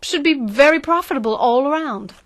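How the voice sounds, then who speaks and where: A woman's voice: high voice, animated, a little fast, fairly bright tone. A woman playing an ambitious, scheming marketing consultant in an audio drama, self-assured and coaxing. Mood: smug, pitching.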